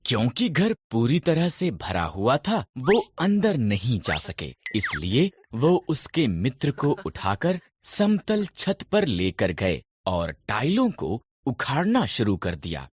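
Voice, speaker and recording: A man narrating scripted Bible stories in a measured, expressive storytelling manner. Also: mid-range voice, husky, no room sound, studio quality.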